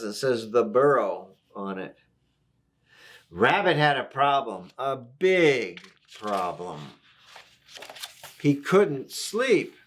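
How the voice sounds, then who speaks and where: A man reading a picture book aloud to young children, gently explaining things and asking the listener questions. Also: medium pitch. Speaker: volunteer story reader.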